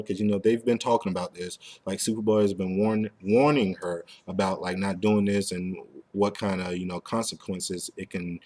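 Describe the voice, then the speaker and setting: A man's voice: low voice, darkish tone. A man hosting a casual fan talk show, speaking informally and with plenty of slang. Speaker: podcast host. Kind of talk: casual fan discussion of an animated series.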